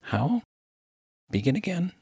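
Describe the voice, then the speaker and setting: A man's voice: lowish voice, big pitch swings, moderate pace, breathy edge, no echo, clean studio sound, full character voice. A man voicing a refined, mild and earnest clergyman, formal and wordy, in a humorous story. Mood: baffled. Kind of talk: dramatic reading of a humorous story.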